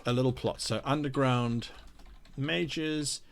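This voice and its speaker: A man with a mid-pitched voice hosts a casual livestream. He is chatty, playful and enthusiastic as he reads out comments from viewers and responds to them. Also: slow, vocal fry. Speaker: host of a live worldbuilding stream.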